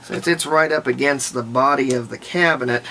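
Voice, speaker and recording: Man, gravelly fry, casual workbench commentary, unscripted thinking aloud, noticeable background noise. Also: mid-range voice, moderate pace, pushed and bright, rough recording. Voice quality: gravelly, creaky. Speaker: hobbyist restorer presenting a repair video.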